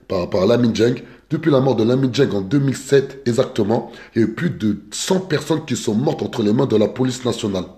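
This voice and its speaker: Earnest, mellow-voiced French activist man. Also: fairly low pitch. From France. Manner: earnest, repetitive, stresses words like "exactement" and "simple"; deliberate, measured delivery. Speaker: activist spokesperson. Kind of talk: political appeal, live video.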